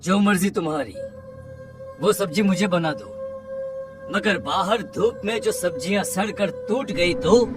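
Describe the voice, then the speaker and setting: A man's voice: high voice, measured pace, over music. A man voicing a clever, resolute village man in a cartoon, confident and persuasive. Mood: sly. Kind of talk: cartoon voice acting.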